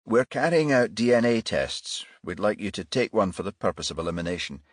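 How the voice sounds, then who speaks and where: A composed male detective inspector, acted by an audiobook narrator. He is courteous and businesslike, with lively pitch movement in a close, dry recording. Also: no echo. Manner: measured, businesslike.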